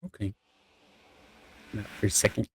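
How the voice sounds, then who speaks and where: A man playing a considerate young man at a fantasy court in a casual, improvised Minecraft roleplay, speaking in an easygoing, gently attentive way. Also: normal speed, no echo, natural voice.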